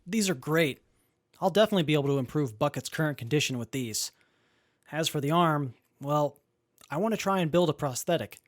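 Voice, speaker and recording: Guy playing a young wasteland tinkerer, lighter pitched, calm practical delivery, dry audio drama sound. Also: a little fast, no echo, close to an everyday voice. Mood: calm, friendly. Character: capable, good-humoured young male wasteland robot mechanic. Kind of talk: post-apocalyptic audio drama acting.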